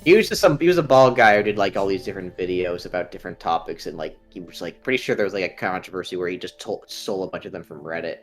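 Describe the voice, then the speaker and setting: A man's voice: low-pitched, lively intonation, quick pace, few pauses, soft background music. A young man with a nasal voice, chatting casually on a live stream, rambling with many ums and restarts. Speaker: stream co-host.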